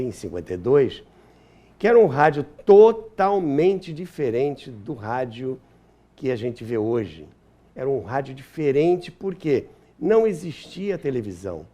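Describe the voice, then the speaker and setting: A man's voice: medium pitch, mellow. A man in his seventies with a Brazilian accent, reminiscing in an interview in an emphatic, conversational way. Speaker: interview guest, radio veteran and author.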